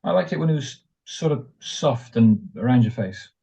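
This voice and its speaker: A man's voice: mid-range voice, expressive, moderate pace, vocal fry, mellow. A man playing an affectionate, teasing young husband back from military service in a 1940s-style radio drama, wistful and a little hesitant. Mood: tender. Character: tender, playful young returned serviceman husband. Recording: dull muffled audio, faint music.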